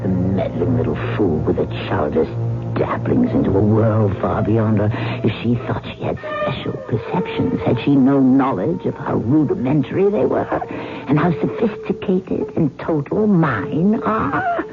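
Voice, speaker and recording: A woman's voice: very deep voice, huge pitch swings, a little slow, full character voice. An actress playing a sinister, commanding witch in theatrical old-time radio style, incanting imperiously to her familiar. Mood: scornful, menacing. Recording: muffled old radio broadcast, music behind.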